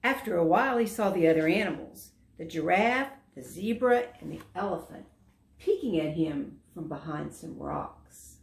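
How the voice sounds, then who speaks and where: A woman volunteer narrating a children's story aloud in an expressive, emphatic storytelling manner. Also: fairly low pitch, normal speed, vocal fry. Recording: roomy echo.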